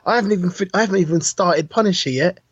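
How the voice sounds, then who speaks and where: A man with a husky, somewhat high voice, recorded close and dry. He banters casually as a podcast co-host, slangy and eager, often doubling up his words. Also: rapid-fire, no room sound, dull audio. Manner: slangy, eager, repeats himself in agreement.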